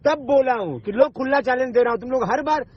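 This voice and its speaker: Guy with a really high rough voice arguing on a muffled phone recording, pushy, keeps repeating himself. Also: fast. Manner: confrontational, swearing, insistent, repeats himself. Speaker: online video maker. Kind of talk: heated argument on a phone call. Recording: dull lo-fi recorded phone call.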